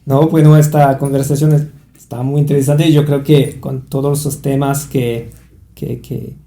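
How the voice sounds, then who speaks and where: A man conducts an interview in a halting way, restarting his sentences often. His voice is very dark and mellow, and it sounds roomy and echoey. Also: medium pitch, flat delivery. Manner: hesitant, many restarts and fillers. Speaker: interviewer for a language institute. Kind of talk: interview conversation.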